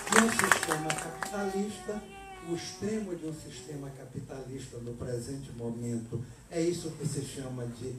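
An older man with a Brazilian Portuguese accent giving a slow, deliberate political speech at an award ceremony, holding a handheld mic. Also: a little high, noisy background. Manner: slow, deliberate, emphatic oratory. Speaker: award speaker. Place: hall at an event, indoors. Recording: echoey hall, filmed from a distance.

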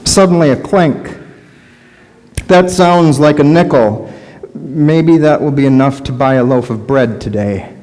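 A middle-aged man playing a lonely blind beggar, speaking a halting, questioning inner monologue in a large church sanctuary. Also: on the high side, a little mellow, close to an everyday voice. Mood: searching. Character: lonely blind adult male beggar by a road. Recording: long church reverb.

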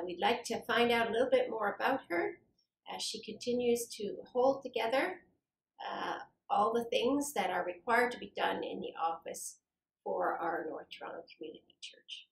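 A middle-aged woman interviewing a church staff member with gentle, measured questions in a small office. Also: fairly high pitch, expressive, gravelly. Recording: echoey office room.

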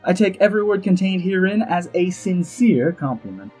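An actor playing a suave, self-satisfied elf ambassador and spymaster in an audio drama, arch, droll and flowery, with a husky voice. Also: male voice, high-pitched, animated, moderate pace, warm tone. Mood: amused, smug. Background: music under the voice.

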